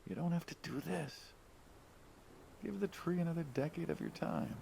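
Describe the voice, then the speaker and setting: An actor playing an affable middle-aged mobster in a story game, gravelly, conversational and coaxing, with quiet menace. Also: male voice, a little high, lively intonation, normal speed, a little hiss or hum. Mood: firm, coaxing. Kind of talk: naturalistic narrative game voice acting.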